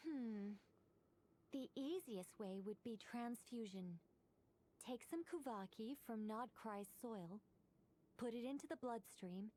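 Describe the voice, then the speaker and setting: A woman playing a composed, formal young researcher in an anime-style video game, precise, polite and gently wry. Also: a little high, animated, slight background noise. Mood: explanatory. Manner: precise, polite, measured wording.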